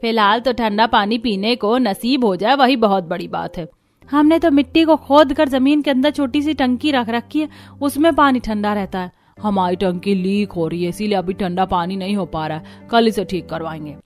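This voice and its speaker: A woman's voice: mid-range voice, moderate pace, soft background music, natural voice. A woman voicing earnest, fretful young village daughters-in-law in a cartoon story, with dramatic delivery. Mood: weary complaint. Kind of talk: Hindi cartoon story dialogue.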